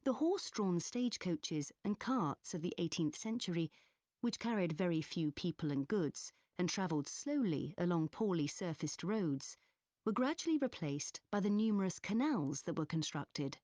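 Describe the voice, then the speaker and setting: A woman playing a history student giving a scripted academic presentation in a measured, explanatory manner. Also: on the low side, lively intonation, dark tone, no echo, lightly acted. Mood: explanatory, composed. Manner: measured, clearly structured explaining. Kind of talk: scripted academic presentation in an exam listening recording.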